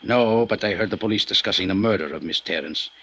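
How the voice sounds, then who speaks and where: An actor playing a cool, formal Mexican aristocrat bent on revenge, with a husky voice, in a 1950s radio drama. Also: male voice, fairly low pitch, quick pace, pushed and bright. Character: suave vengeful Mexican aristocrat man. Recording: old radio broadcast recording.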